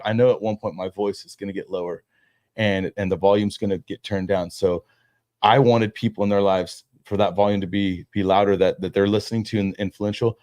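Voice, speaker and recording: A man in his thirties chatting as a podcast guest, his words tumbling out fast with lots of restarts and casual slang. His voice sits a little low. The recording is close and dry, with no room sound. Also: darkish tone, no room sound. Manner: quick, loose talk with many restarts and "dude". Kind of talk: casual conversation.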